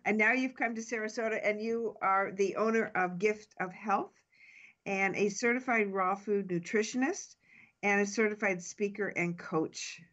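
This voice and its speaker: A woman's voice: medium pitch, moderate pace, fairly bright tone. A woman hosting a talk show, reading her introduction in an upbeat way and stumbling a little. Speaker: radio show host. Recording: slightly dull, lo-fi sound.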